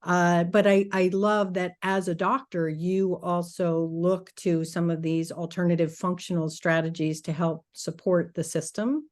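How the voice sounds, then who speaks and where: A woman hosting an interview, who introduces her guest and asks questions in an enthusiastic, unhurried way. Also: on the low side, little pitch movement, a little mellow. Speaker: interview host.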